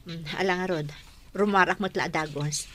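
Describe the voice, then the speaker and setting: A woman's voice: on the low side, moderate pace, close to an everyday voice. A woman with an Ilocano accent playing a hardworking, protective elder sister in a radio drama. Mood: caring.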